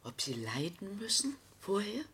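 A woman's voice: mid-range voice, very animated, a little slow, fairly bright tone, breathy edge, heavily acted. An actress playing an anxious, conscience-stricken woman grieving her brother in a radio play, speaking hesitantly. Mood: mournful. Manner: hesitant, troubled, theatrical.

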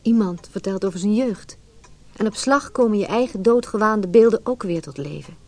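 An actress plays a reflective, troubled woman in a radio play. She delivers a scripted inner monologue in a mid-pitched voice, turned inward and introspective. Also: moderate pace, a little mellow, lightly acted. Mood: pensive.

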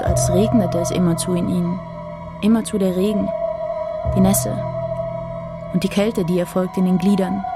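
An actress playing a wistful, sorrowful poetic narrator in a radio play, in measured fragments over loud music. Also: female voice, medium pitch, lightly acted.

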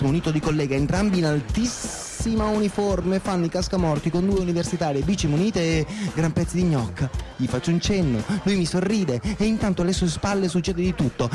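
Male actor as cynical office worker, high-pitched and sarcastic, radio theatre monologue with music behind. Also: close to an everyday voice. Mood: scornful, mocking. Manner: sarcastic, crude-mouthed. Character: cynical, sardonic male office worker, contemptuous of his city. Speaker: stage actor.

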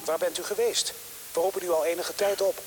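An actor playing a polite, impersonal control-room official in a radio drama, speaking formally and courteously. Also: male voice, sits high, steady tone, brisk, crowd noise, natural voice. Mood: official, insistent. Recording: hissy noisy intercom-like sound.

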